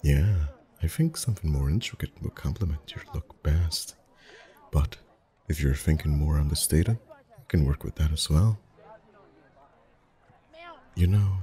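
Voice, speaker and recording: A man playing a friendly, chatty, gently flirtatious festival face painter in a soft-spoken ASMR roleplay, with a gentle, rambling manner. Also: extremely low pitch. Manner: gentle, rambling, softly chuckling. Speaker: ASMR voice actor.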